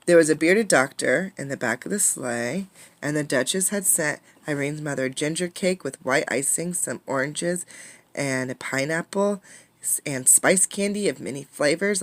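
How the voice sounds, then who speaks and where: A woman reading a picture book aloud with dramatic, emphatic delivery, pausing to put questions to listeners. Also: sits low. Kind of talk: expressive storybook read-aloud with questions to listeners.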